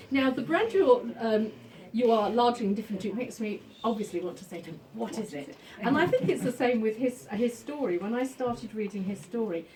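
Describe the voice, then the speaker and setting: A woman gives an informal introduction as host of a live literary event. She speaks haltingly at a middling pitch, often searching for the right word. She is picked up from a distance, with faint noise in the room. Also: darkish tone. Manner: hesitant, halting, searching for words. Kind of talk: informal spoken introduction to an audience. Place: bookshop book launch event.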